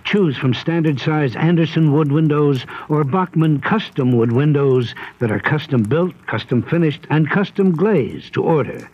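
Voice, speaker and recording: Husky, animated male radio ad announcer. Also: a little high, measured pace, few pauses. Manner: salesmanlike. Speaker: commercial announcer. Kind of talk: scripted 1970s radio advertisement. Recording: dull vintage radio broadcast.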